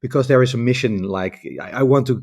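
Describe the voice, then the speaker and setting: A man's voice: medium pitch, fast, a little mellow. A Dutch man speaking English as a guest author, talking over a video call in a rambling, reflective way with many restarts. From Netherlands. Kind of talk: casual conversation.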